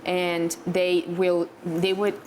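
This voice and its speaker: Low-voiced young Italian woman. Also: monotone, slow pace, darkish tone, slight background noise, low-quality recording. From Italy. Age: young adult. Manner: hesitant, searching for words in non-native English. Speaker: talk show guest. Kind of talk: candid conversational interview.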